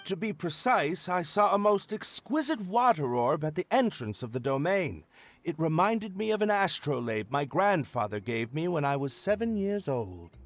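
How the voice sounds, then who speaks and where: A man playing an earnest, apologetic, bumbling young gentleman in an anime video game, speaking formally and fussily with nervous hesitation. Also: a little high. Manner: formal wording, fussy, nervous, throat-clearing. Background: game music.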